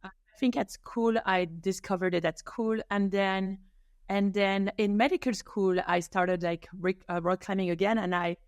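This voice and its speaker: A woman's voice: mid-range voice, steady tone, normal speed, clear, resonant tone, no room sound, studio quality. Enthusiastic woman from France. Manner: enthusiastic, laughs, many restarts and fillers. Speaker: rheumatologist, interview guest. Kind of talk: casual interview answers.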